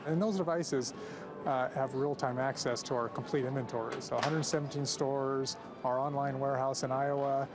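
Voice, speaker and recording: An American man, a company president, giving unscripted interview answers in a hesitant, halting way. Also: medium pitch, fairly even intonation, over music.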